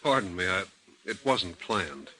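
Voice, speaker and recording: An actor playing a world-weary, wisecracking missing persons agent in an old radio drama, talking in slangy, sardonic style. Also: male voice, deep voice, expressive, a little slow, sharp tone, a little hiss or hum, exaggerated voice, rough recording. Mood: probing, cool. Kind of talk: old-time radio detective drama acting.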